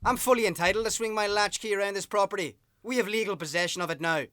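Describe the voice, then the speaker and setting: A man's voice: sits high, fast, pushed and bright, no room sound, studio quality. An actor playing a smug, menacing male bailiff's assistant with a smarmy, sneering manner in a radio play.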